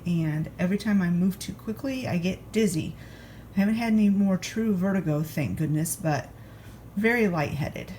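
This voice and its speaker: A woman's voice: medium pitch, darkish tone, a little hiss or hum, rough recording. A congested woman chatting at home in a homey, talkative way as she narrates her food vlog from a recliner. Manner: chatty and homey, talking straight to the camera. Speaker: vlogger.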